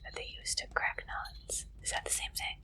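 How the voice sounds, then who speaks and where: A woman whispering in an ASMR roleplay as an interviewer, with a playful, teasing manner and soft questioning. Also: normal speed.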